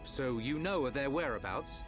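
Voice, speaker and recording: A man plays a stately prince in a video game. He speaks formally and insistently, with animated pitch, music clearly behind him. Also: normal speed, lightly acted. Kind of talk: video game cutscene acting.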